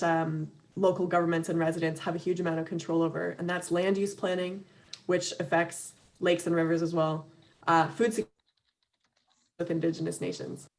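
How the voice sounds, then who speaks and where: A woman giving an earnest, conversational webinar talk over a video call, full of fillers and restarts, her voice a little worn. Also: on the low side. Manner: earnest, many fillers and restarts, laughs lightly between points. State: slightly losing her voice. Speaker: webinar speaker for an advocacy organization.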